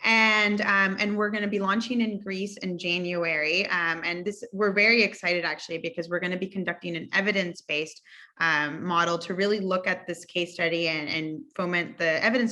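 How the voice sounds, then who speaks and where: A woman's voice: fairly low pitch, bright, forceful tone. A woman describing her education program on a video call panel, enthusiastic and chatty, with many fillers. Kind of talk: panel discussion talk.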